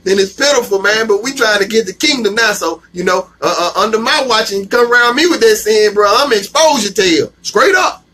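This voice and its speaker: High-pitched emphatic man preaching. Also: brisk, few pauses, pushed and bright. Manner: emphatic call-and-response, repeats "man", slangy. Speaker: bible teacher. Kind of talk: street-level scripture teaching.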